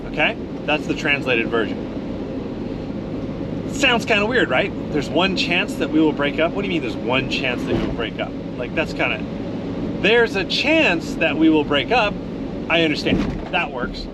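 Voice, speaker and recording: A man with a somewhat high voice talks loosely and casually to his viewers, restarting sentences as he goes. A loud, steady background noise runs under the whole recording. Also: normal speed. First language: English. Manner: relaxed, rambling, with fillers and restarts. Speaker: vlogger. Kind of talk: casual talk to camera.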